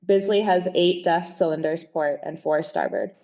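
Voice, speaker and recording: Low-voiced woman reading a diary. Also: normal speed, vocal fry, darkish tone. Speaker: diary reader.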